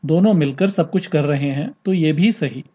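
A man voicing an exasperated husband who dismissively chides his overthinking wife in a story reading, with a slightly husky voice. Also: on the high side, moderate pace, dark tone. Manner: emphatic, dismissive. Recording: slightly dull, lo-fi podcast audio.

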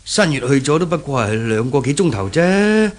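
A man's voice: medium pitch, sing-song, moderate pace, lightly acted. A man acting in a 1970s Cantonese radio drama as an anxious middle-aged shopkeeper father with a Hong Kong accent, stammering and repeating his words. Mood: flustered. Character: anxious older shopkeeper father, flustered and kindly.

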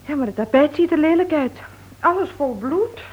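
A helpful young-spirited companion in a radio mystery. Her high voice is chatty and eager. The old recording is muffled, with slight noise. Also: expressive, moderate pace. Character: bright, helpful English female companion. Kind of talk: Dutch radio play acting.

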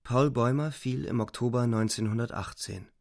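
An actor playing a weary, resigned narrator of a war story in a radio drama, calm, measured and solemn. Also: male voice, medium pitch, vocal fry, no room sound, studio quality, natural voice. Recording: music behind the voice.

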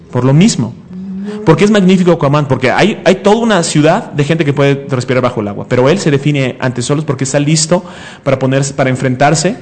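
A man's voice: fairly high pitch, darkish tone. A man of twenty-five in a heated, casual debate as a comics show host, emphatic and argumentative, often repeating himself and cutting in.

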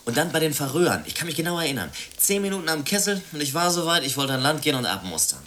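An actor in a German radio drama playing a self-absorbed, sardonic former sailor with a flippant, wordy, theatrical way of talking. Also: male voice, a little high, big pitch swings, fast, sharp tone, slight background noise. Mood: wry, nostalgic.

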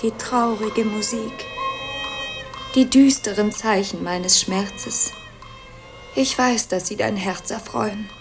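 Proud cold princess in radio drama, slow measured lofty delivery, defiant, faint music. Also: female voice, mid-range voice. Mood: bitter defeat. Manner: solemn, haughty, measured. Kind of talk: declamatory German radio play acting.

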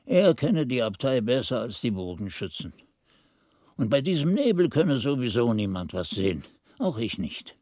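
A man's voice: fairly low pitch, a little mellow, dry recording, studio quality. A man playing an earnest monastery narrator in a radio drama, recounting events with careful, storytelling delivery. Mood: earnest, wry.

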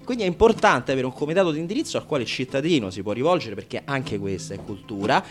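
A man's voice: medium pitch, expressive, fast talker, lightly acted. A man playing a self-important city culture councillor in a satirical radio sketch, rambling and evasive. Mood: pompous, rambling. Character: pompous, bumbling Roman city culture councillor.

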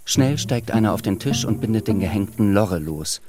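A man's voice: lowish voice, animated, moderate pace. A man giving scripted literary narration in a radio drama.

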